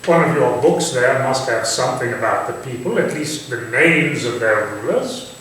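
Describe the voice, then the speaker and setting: A man's voice: mid-range voice, big pitch swings, moderate pace, fairly bright tone. A man playing an urbane, teasing, proud Victorian English nobleman in a staged reading, articulate and dryly probing in a theatrical way. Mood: amused, musing. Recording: very echoey room, distant mic, hissy noise.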